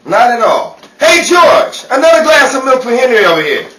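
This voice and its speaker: A man acts out an excitable, nervous buddy from an old-time radio comedy. The voice is high for a man and swings widely in pitch. It sounds roomy and distant, with animated, theatrical delivery. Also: normal speed, raised voice, exaggerated voice. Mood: breezy. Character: Mason, jittery excitable male buddy dodging trouble. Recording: roomy, distant, noisy amateur radio play.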